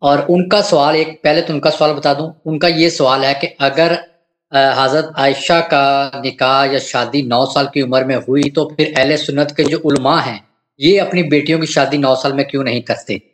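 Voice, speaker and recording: A man's voice: mid-range voice, fairly even intonation, normal speed. A man calling in to a religious show, respectfully putting his questions in a deferential, somewhat hesitant way. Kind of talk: asking questions respectfully on a live call. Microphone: remote internet call.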